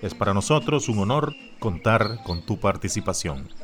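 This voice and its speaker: A man's voice: on the low side, expressive, moderate pace. A man hosting a podcast, introducing and questioning his guest in a formal presenter tone. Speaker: podcast host. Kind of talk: interview host introduction. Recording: music bed behind the voice.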